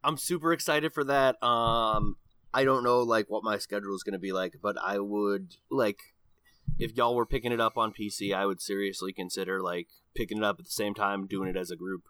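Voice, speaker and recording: A man's voice: lowish voice, steady tone, a little fast, bright, forceful tone, dry recording. A man chatting casually as a podcast co-host, with hesitant, tentative phrasing. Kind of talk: casual gaming chat.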